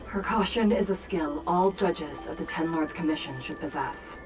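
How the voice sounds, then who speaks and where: A woman playing a composed, authoritative judge in a video game, speaking formally and in measured phrases. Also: low voice, music in the background, close to an everyday voice, rough recording. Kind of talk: video game character acting.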